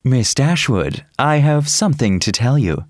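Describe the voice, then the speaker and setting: An actor playing a remorseful young gentleman scoundrel in an audiobook, pleading and confessing earnestly. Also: medium pitch, expressive, unhurried, no room sound, clean studio sound, natural voice. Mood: urgent, pleading. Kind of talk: dramatised audiobook dialogue.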